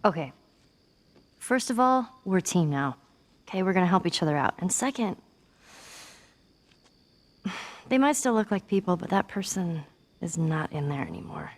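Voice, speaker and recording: A woman's voice: fairly low pitch, expressive, normal speed, long pauses. A woman playing a plucky, tender teenage girl in a video game cutscene, talking in a natural, wry, conversational way. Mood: candid, reassuring.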